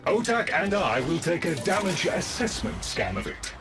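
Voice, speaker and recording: Formal servant for a video game: exact, cautious, animated in pitch, music underneath. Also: male voice, moderate pace, creaky, full character voice. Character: formal, dutiful male servant of a sinister family. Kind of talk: scripted video game character acting.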